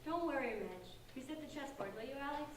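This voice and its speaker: A woman's voice: high voice, animated, quick pace. A woman playing an earnest, determined sixteen-year-old girl who leads her friends in a staged play reading. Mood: confident. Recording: distant echoey room, faint noise.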